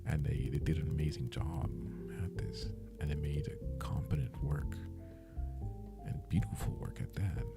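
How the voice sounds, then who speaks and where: The rock-bottom, raspy voice of a guy rambling on a late-night art stream, hesitant and self-mocking, with guitar music loud behind him. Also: a bass voice, level tone, moderate pace, whispered. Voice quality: slightly raspy, breathy murmur. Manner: rambling, hesitant, self-deprecating, casually profane. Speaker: livestreamer, animator. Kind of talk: casual livestream chat while working. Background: plucked guitar music.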